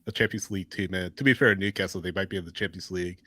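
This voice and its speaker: Male podcast host, fairly low pitch, casual, agreeable, somewhat halting delivery, dry recording. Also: fairly even intonation, bright, forceful tone, no echo. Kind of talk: casual live sports chat.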